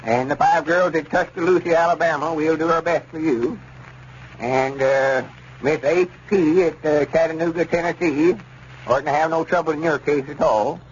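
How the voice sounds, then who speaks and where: Muffled, noisy old radio comedy: male actor as officious rural Southern storekeeper, highish voice, folksy and halting. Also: fairly even intonation, natural voice. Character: folksy, self-important small-town Arkansas storekeeper running a bureau. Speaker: comedy radio show lead. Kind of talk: 1930s radio comedy acting.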